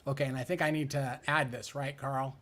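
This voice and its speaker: A man's voice: mid-range voice, steady tone, brisk, a bit bright. A man hosting a livestream, introducing his guest in a friendly, rambling way. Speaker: livestream host. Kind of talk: casual hosting chat.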